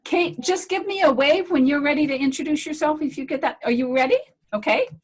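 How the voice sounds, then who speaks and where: A woman's voice: high-pitched, brisk. Chatty woman hosting a video call. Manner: friendly, chatty, rambling restarts. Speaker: host, medical director.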